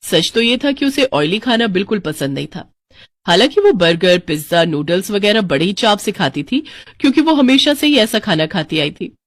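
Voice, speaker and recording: A woman's voice: mid-range voice, animated, normal speed, dry recording, clean studio sound. A woman with an Indian accent reading scripted narration for a moral story.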